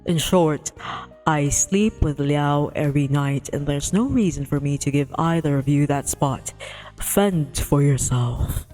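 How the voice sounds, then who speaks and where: A woman's voice: low voice, measured pace, warm tone, soft background music, voice pushed down. A woman voicing a haughty, possessive, big male tiger god from a visual novel, speaking in a formal, dismissive, imperious manner.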